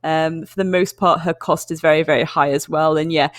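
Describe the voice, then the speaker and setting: Woman, esports caster, low-ish voice, dry booth sound, chatty analytical delivery. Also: steady tone, no room sound. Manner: measured, chatty, filler-heavy.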